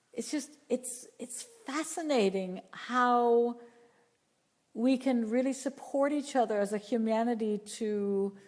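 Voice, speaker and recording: A woman voice teacher answering viewer questions live, talking in an unhurried, earnest way and often repeating or restarting her phrases. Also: mid-range voice, dark tone, in a large hall. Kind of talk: live Q&A talk, reading chat questions.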